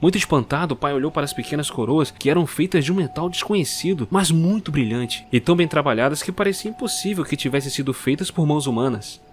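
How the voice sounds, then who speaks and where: A man narrating a dark story in a measured, dramatic way. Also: on the high side, lively intonation, slight background noise. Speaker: narrator and producer.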